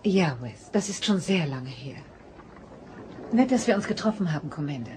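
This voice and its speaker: A woman's voice: on the low side, expressive, moderate pace, darkish tone, slight background noise, lightly acted. An actress playing a cool, formal ship's doctor and mother in a German audio drama. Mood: cool, reserved.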